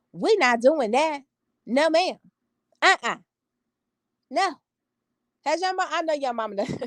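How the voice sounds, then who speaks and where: A woman's voice: mid-range voice, no echo. Sassy, emphatic woman on a dry phone mic. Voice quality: strong, confident voice. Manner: emphatic, sassy, repeats phrases for effect. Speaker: vlogger talking to live viewers. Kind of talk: casual storytelling chat.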